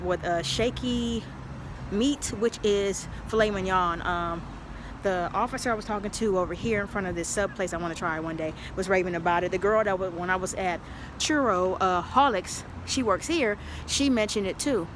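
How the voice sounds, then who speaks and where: A woman's voice: mid-range voice, normal speed, noisy background. A woman in her thirties chatting casually into her phone as she tastes and reviews food in a busy restaurant. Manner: chatty, savoring, describes food aloud. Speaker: food vlogger. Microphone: phone camera held close.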